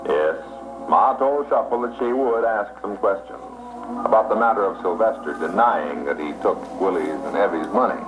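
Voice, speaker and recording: A man playing an earnest, suspenseful announcer on an old-time radio soap opera, with a dramatic, deliberate delivery. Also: fairly low pitch, lively intonation, natural voice. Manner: dramatic, deliberate recapping with rhetorical questions. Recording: dull band-limited old radio broadcast, music behind.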